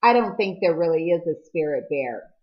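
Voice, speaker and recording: A woman's voice: fairly low pitch, expressive, moderate pace, close to an everyday voice. A sullen, distrustful teenage boy from an audiobook, read by a woman. He is blunt and grudging and pushes back on what he hears. The sound is a little lo-fi. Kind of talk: audiobook character dialogue.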